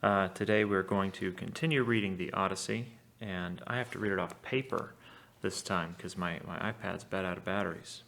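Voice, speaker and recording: A man with a gravelly voice, a teacher reading a story aloud and commenting on it casually. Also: low-pitched, moderate pace, fairly bright tone, slight background noise.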